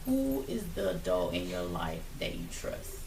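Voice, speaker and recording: Gravelly-voiced woman counselor, roomy. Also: very deep voice, a little slow, slight background noise. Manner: lively, expressive. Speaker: school counselor. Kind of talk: casual talk show chat. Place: small studio lounge, indoors. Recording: roomy, a bit distant.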